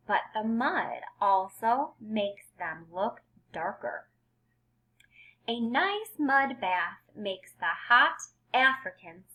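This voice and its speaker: A woman reading aloud as a kindergarten teacher, deliberate and expressive, explaining words in simple terms for young children. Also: medium pitch, poor audio.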